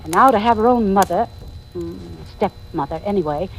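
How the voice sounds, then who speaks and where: An actress in 1940s radio style playing a poised, middle-aged mystery writer with a refined, articulate delivery and dry wit. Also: female voice, mid-range voice, normal speed, slightly rough, close to an everyday voice, rough recording. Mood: hesitant, reluctant. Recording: vintage radio drama with music.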